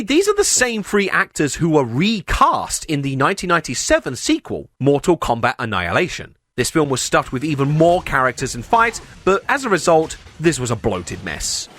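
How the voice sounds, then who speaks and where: A man's voice: on the high side, a bit bright, dry recording, studio quality. A man hosting a video essay, reading scripted commentary with wry, sarcastic asides.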